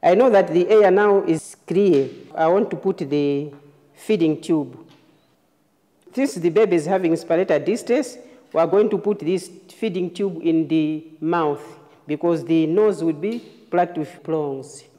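A woman nurse giving a hands-on clinical demonstration, explaining phrase by phrase in a halting way. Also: on the low side, dark tone. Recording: reverberant room, long echo.

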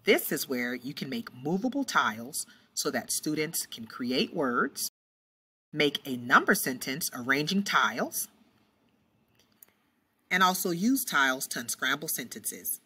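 Low-voiced woman giving a software tutorial. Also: big pitch swings, measured pace. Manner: clear step-by-step instructions. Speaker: teacher presenting tutorials. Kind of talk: screen-recorded software tutorial. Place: voice-over on screen recording.

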